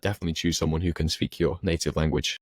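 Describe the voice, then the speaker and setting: English teacher guy on a podcast, super low voice, pretty monotone, calm. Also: fast, dry recording, studio quality. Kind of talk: casual chat.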